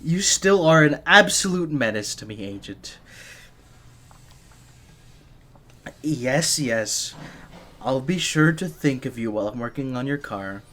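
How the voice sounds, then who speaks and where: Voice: medium pitch, expressive, normal speed, a bit bright, close to an everyday voice. A performer voicing a nervous, flustered young gadget engineer in an ASMR roleplay, stammering shyly and breaking off mid-phrase. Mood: shy, teasing.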